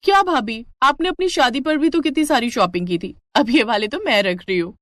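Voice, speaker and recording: A woman's voice: on the high side, lively intonation, a little fast, background noise, close to an everyday voice. A woman voicing a covetous young college-going sister-in-law, wheedling and entitled, in a storybook drama.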